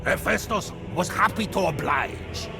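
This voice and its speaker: A pompous touchy king of the gods, game acting, voice high and all over the place, loud music. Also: lively intonation, a little slow, gravelly, exaggerated voice. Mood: indignant, blustering. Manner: pompous, indignant, blustering. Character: imperious, vain, quick-tempered male king of gods. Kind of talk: theatrical comic game acting. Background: game score.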